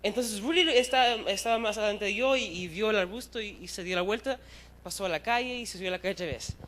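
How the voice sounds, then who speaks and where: A young man in his twenties, from Chile, gives a youth sermon in a church hall. His voice is high and he tells his stories in a lively, self-deprecating way, mixing in English words. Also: fast, slight background noise. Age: young adult. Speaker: youth preacher. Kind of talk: casual storytelling sermon.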